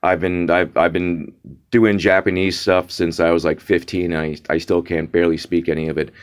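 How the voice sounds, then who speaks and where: Young man, lowish voice, vlog rant: exasperated, mocking, stop-start delivery with lots of repetition. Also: little pitch movement, normal speed, smooth. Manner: exasperated, mocking, repeats words for emphasis; frequent restarts and fillers. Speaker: vlogger. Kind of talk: casual rant to camera.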